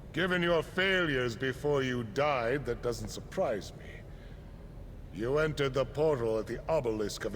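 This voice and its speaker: An actor playing a gloating, menacing high priest in a video game, speaking in a pompous, grandiose way. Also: male voice, close to an everyday voice. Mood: condescending. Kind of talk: video game dramatic acting. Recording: long hall reverb, faint noise.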